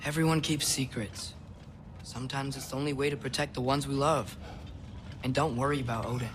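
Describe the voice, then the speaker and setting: A man's voice: mid-range voice, fairly even intonation, fairly quick, slight background noise, lightly acted. A young man playing an earnest, secretive teenage boy in a video game, casual and a little hesitant. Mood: defensive, pleading. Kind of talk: naturalistic video game cutscene acting.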